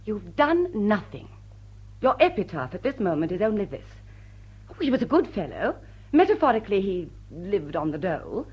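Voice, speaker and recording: A woman's voice: mid-range voice, big pitch swings, normal speed, slightly rough, highs cut off. An actress playing a poised, witty society lady, arch and mock-sweet as she coolly rebukes, in a vintage drawing-room radio comedy. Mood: firm, goading.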